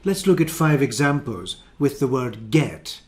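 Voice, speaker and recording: A man's voice: medium pitch, sing-song, a little slow. A man teaching an English grammar lesson, carefully explaining and repeating each example sentence.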